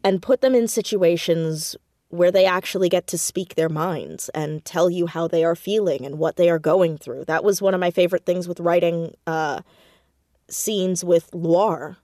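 A woman talking casually as an interview guest about her writing, with animated, self-deprecating storytelling and emphatic stresses on words. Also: lowish voice, moderate pace, dry recording, studio quality. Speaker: podcast guest, audio drama writer and creator.

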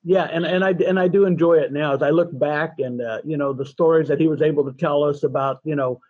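A man's voice: fairly high pitch, fairly even intonation, fast talker, no echo, muffled recording. A man in his sixties, a podcast guest, chatting casually and telling family stories with lots of restarts. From California, USA. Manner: chatty, self-deprecating, many restarts. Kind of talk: casual interview chat.

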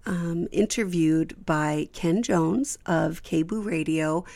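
A woman's voice: on the low side, slow, creaky, darkish tone. A woman hosting a podcast, giving informal thanks and announcements to listeners. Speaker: podcast creator and host.

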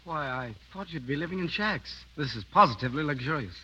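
Eager boyish subaltern, courteous, hesitant, bright voice, muffled 1930s radio drama. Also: male voice, on the high side, lively intonation, fairly quick. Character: eager, naive young British subaltern fresh from college. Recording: dull, noisy vintage 1930s radio.

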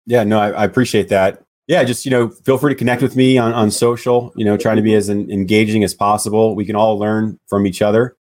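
A man with a loud voice speaking as a podcast guest, relaxed and hesitant, full of "you know"s. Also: on the low side, brisk, hardly any pauses. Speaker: guest, loan officer. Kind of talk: casual interview chat.

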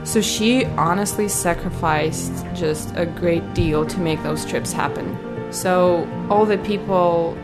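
A woman from Ukraine in her twenties telling a personal story, halting and searching for words. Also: lowish voice, over loud music. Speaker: guest sharing a story.

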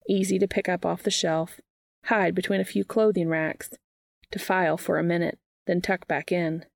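A woman narrates a story in a lower-pitched voice with noticeable vocal fry. The recording is dry, with very little room sound, and she reads it like an audiobook. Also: level tone, normal speed, dry recording. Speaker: fan fiction reader.

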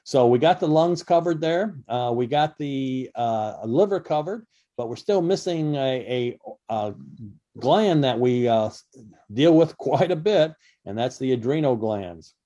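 Mellow-voiced man hosting radio. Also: mid-range voice, expressive, measured pace, muffled recording. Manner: rambling, many fillers and restarts. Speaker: radio show co-host. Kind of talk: casual radio health talk. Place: radio studio.

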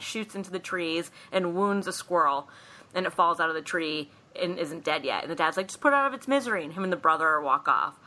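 A woman's voice: on the low side, pushed and bright. A woman co-hosting a podcast, retelling a film plot in a casual, wry way with mimicked asides. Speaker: podcast co-host.

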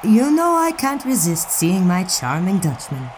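A woman's voice: fairly low pitch, very animated, unhurried, darkish tone. An actress playing an opinionated young woman of the 1920s, arch, refined and playfully scolding in an audio drama. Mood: playful, affectionate. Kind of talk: period audio drama acting. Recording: clearly noisy outdoor ambience behind.

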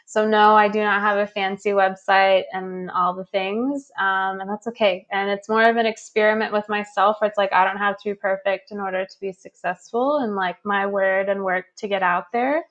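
A woman with a slightly raspy voice, a podcast guest speaking bluntly and casually with lots of "like.". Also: medium pitch, fairly even intonation, normal speed, hardly any pauses, a bit bright, muffled recording. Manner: blunt, fervent, peppered with "like". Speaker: podcast guest, human design teacher. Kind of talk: casual conversation.

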